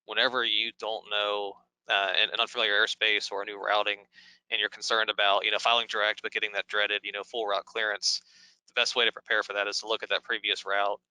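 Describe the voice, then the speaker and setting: Fast, very bright American man. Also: lowish voice, flat delivery, smooth, poor audio. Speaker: host, pilot and flight instructor. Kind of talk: conversational Q&A hosting.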